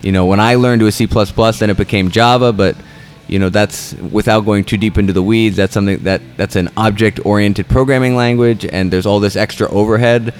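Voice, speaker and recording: A man's voice: on the low side, fairly even intonation, a little fast. A man in casual conversation, rambling and often restarting his sentences. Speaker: guest.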